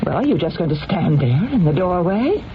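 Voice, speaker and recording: Wealthy, domineering lady, theatrical and lively in pitch, vintage radio drama with heavy background noise. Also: moderate pace. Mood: coaxing, teasing. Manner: theatrical, coaxing, cutting. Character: imperious, possessive wealthy woman, affectionate then scolding. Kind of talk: old-time radio drama acting. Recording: muffled, noisy old radio recording.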